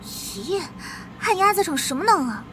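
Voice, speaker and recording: A woman voicing a devoted, outspoken young noblewoman with an emotional delivery in an animated period drama. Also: very high-pitched, measured pace, heavily acted, low-quality recording. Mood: scolding, urgent. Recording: clear background noise behind voice.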